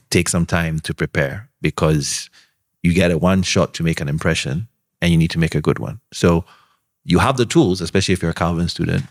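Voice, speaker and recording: A man answering questions on a panel, giving earnest, measured advice with frequent pauses and ums. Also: deep voice. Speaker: alumnus panelist.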